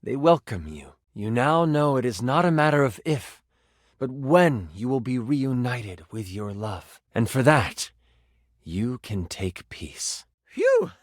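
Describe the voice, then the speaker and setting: An actor playing an excitable, encouraging male game master who narrates tabletop fantasy with theatrical, geeky eagerness. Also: medium pitch, takes it slowly, dry recording, studio quality. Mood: excited. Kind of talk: dramatic tabletop fantasy narration with playful asides.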